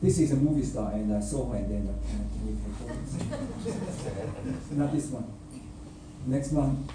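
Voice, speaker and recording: Preacher guy talking slow, lots of uh and you know, big echoey church, kinda far off. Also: mid-range voice, big pitch swings, noisy background. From raised by a North Korean father. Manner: slow, deliberate delivery with pauses and filler words. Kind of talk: sermon with a personal mission story. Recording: echoey hall, speaker away from the mic.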